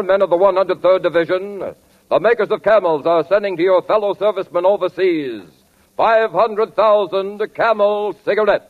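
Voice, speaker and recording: A man reading a formal scripted tribute in 1940s radio announcing style. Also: high-pitched. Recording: tinny, narrow 1940s radio sound.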